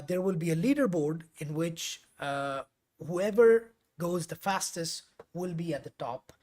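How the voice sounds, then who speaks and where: A man who grew up speaking Urdu, chatting casually through a live coding stream. He rambles a little and fills pauses with "uh" as he works and explains to his viewers. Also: fairly high pitch, a little mellow. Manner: chatty and rambling, with frequent fillers and self-corrections.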